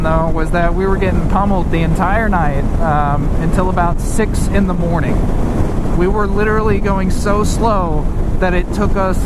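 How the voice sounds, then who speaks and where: A man's voice: high voice, very noisy. A young man telling a personal story to viewers in a casual, blunt, heavily sweary way. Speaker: storytime host.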